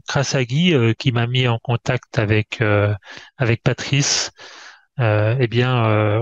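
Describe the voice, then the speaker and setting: A man hosting a conversational interview over a video call, speaking haltingly, with many hesitations and restarts. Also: mid-range voice. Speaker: host. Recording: dull, dry video-call audio.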